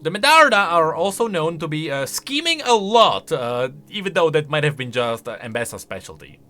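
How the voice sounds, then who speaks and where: A man reacts casually as a game lore commentator, wry and full of opinions. His voice is bright and a little high, swinging widely in pitch as he talks. Faint music sits behind him. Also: very animated, normal speed.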